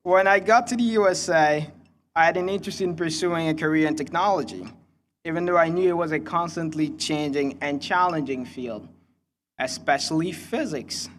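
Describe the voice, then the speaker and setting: Young Congolese-accented man, higher-pitched, speechmaking. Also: moderate pace, fairly bright tone, slight background noise. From Kinshasa, Democratic Republic of the Congo. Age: young adult. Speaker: student commencement speaker. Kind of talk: prepared graduation speech.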